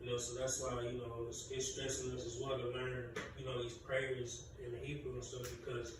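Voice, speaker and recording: A man's voice: mid-range voice, completely flat delivery, a little fast. Man reading and explaining in an echoey room. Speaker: class participant. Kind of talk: casual group discussion, reading a passage aloud. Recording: echoey room, distant mic, ambient noise.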